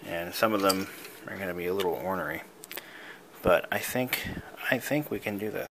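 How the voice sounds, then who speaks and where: A man giving a casual, unscripted show-and-tell as a hobbyist, thinking aloud in a musing, halting way. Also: sits low, gravelly, background noise. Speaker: hobbyist showing an old device he is restoring.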